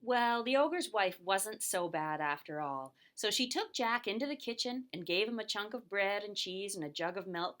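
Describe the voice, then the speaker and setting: A woman's voice: medium pitch, expressive, moderate pace, few pauses, a bit bright. A woman narrating a scripted children's story as the storyteller of a puppet show.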